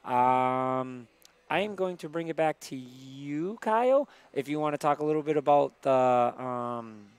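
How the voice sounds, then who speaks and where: A man's voice: mid-range voice, lively intonation, a little slow, clean tone, no echo. A man hosting a gaming livestream, reading out tournament details in a casual way with lots of fillers. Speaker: livestream host.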